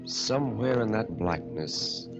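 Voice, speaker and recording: An American man narrating and announcing a 1940s radio horror show in a solemn, dramatic, measured manner. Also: lowish voice, lively intonation, creaky, loud music behind the voice. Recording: dull vintage radio recording.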